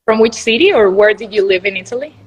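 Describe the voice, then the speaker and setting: A young woman from Venezuela, Spanish her first language, leads a relaxed interview over a video call. She talks in an upbeat, chatty way and often restarts her sentences. Her voice sits at a middling pitch. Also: fast, slightly rough, faint music behind, rough recording. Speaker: host interviewer.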